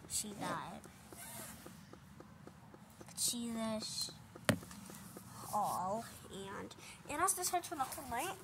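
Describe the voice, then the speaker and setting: Slow-talking young girl vlogging on a phone. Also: fairly high pitch. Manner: rambling, apologetic, talks to viewers as guys. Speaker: vlogger showing a toy ride-on car. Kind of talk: casual phone vlog tour. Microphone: handheld phone held close. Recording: loud background noise.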